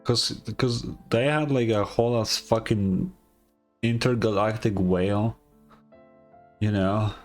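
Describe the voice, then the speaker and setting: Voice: medium pitch, takes it slowly, pauses often. A game streamer giving casual reaction commentary, incredulous and stammering, swearing freely. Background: game music.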